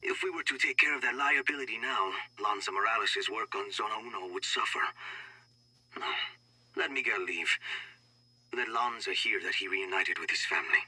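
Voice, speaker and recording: A cold scheming official. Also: male voice, fairly low pitch, moderate pace, pushed and bright, slightly rough, full character voice, rough recording. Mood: calm.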